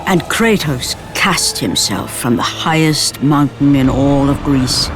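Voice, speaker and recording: A man's voice: mid-range voice, animated, slow. A man giving grave, dramatic scripted narration for a game cutscene. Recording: game cutscene over loud music.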